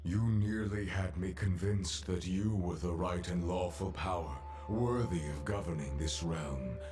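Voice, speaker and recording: An actor playing a stern, imperious judge in a video game, speaking formally and solemnly, as if pronouncing sentence. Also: male voice, sits low, measured pace, a little mellow, faint music behind. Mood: grave judgment. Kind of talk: dramatic video game voice acting.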